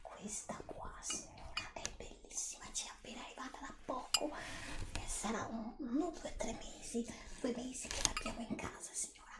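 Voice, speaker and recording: A middle-aged woman, whispering breathily in an ASMR roleplay as a gentle, attentive clothing shop assistant advising a customer. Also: on the high side, moderate pace, reverberant. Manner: gentle, attentive sales patter to an imagined customer.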